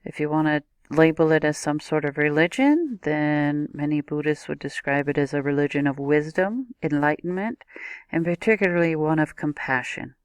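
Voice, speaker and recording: A woman's voice: low-pitched, normal speed, clean tone, no echo. A woman teaching a recorded video lesson, explaining step by step and speaking directly to the viewer. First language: not a native Japanese speaker.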